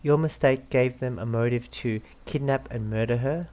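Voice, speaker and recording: A man's voice: medium pitch, normal speed, a little mellow, slight background noise. A man streaming a game, casually reacting and reading aloud as he plays.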